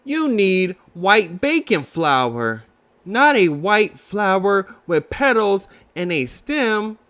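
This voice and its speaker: Man voicing a kind, high-pitched storybook boy. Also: sing-song, takes it slowly, fairly bright tone, full character voice. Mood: kind, reassuring. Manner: kindly, reassuring. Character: kind, patient young boy.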